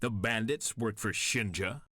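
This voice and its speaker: A man's voice: on the low side, unhurried, bright, forceful tone, dry recording, studio quality. A man playing a brooding exiled warlord in a video game, speaking in a solemn, terse, commanding way. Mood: guarded. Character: Kenji, brooding exiled young warlord of a serpent clan. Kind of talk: dramatic video game cutscene acting.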